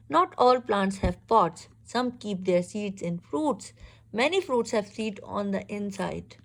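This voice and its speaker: A woman reads a children's picture book aloud in an expressive, instructive way, pausing to ask questions. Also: a little high. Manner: expressive, instructive, exclaims and checks understanding. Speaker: reader teaching a young child.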